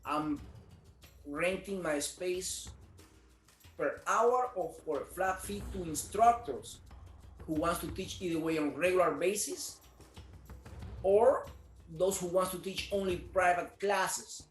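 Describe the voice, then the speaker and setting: A man's voice: high voice, slow, pauses often, reverberant, soft background music, rough recording. A man from Cuba, a business owner answering interview questions earnestly, repeating words as he searches for them. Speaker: martial arts studio owner.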